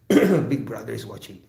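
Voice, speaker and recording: Gravelly Indonesian man, halting and echoey. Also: medium pitch, a little mellow. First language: an Indonesian regional language. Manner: halting, many fillers and throat clearing, laughs often. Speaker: livestream host. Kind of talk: casual chat with live chat viewers.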